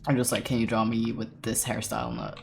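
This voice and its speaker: A young man in his late teens, with a deep voice, talks loosely to his stream while he draws. He rambles through strings of fillers, breaks into laughs, and drops casual swears. His pitch sits in a middle range for a man. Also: little pitch movement, fairly quick, vocal fry, faint music behind. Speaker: artist streamer. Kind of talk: casual livestream chat while drawing.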